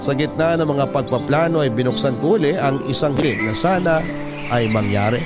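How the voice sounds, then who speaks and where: A man's voice: medium pitch, a little fast. A man with a Filipino accent reading a letter as scripted radio drama narration. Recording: music behind the voice.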